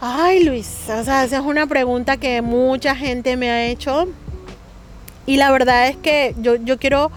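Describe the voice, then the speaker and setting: A woman's voice: sits high, steady tone, measured pace, soft background music. Colombian woman with a Mexican tinge. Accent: Colombian Caribbean with Mexican touches. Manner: earnest, hesitant with frequent fillers. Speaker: interview guest. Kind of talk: casual interview conversation.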